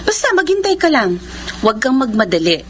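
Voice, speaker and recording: A woman's voice: sits high, sing-song, fairly quick, fairly bright tone, slight background noise. A woman acting a caring, confident young elder sister in a radio drama, reassuring and earnest. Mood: encouraging.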